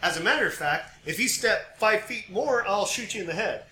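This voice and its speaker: An American man co-hosting a casual podcast, joking informally and excitably, picked up from a few feet away. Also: high voice, a little fast. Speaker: podcast co-host. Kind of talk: casual conversational podcast chat. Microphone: picked up by another's mic about five feet away. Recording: distant, roomy pickup with background noise.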